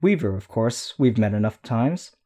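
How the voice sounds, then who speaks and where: A man's voice: mid-range voice, expressive, moderate pace, mellow, close to an everyday voice. Man reading a courteous, formal heroine. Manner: courteous, procedural. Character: polite, formal adult heroine.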